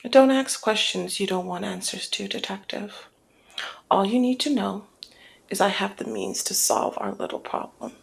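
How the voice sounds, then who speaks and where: A woman playing a flirty, cunning female mob boss in an intimate ASMR roleplay, speaking in a teasing, deliberate murmur that often slips toward a whisper. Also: medium pitch, very animated. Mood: teasing, confiding. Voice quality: breathy creaky murmur, often dropping to whisper. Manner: teasing, deliberate, drawn-out phrasing.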